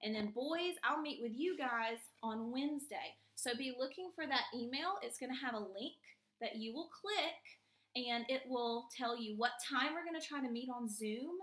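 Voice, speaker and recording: High-voiced young woman teacher in an echoey room. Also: lively intonation, moderate pace, a bit bright. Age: young adult. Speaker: elementary school teacher. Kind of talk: children's read-aloud and chat to pupils. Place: small plain room indoors. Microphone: camera mic a few feet away.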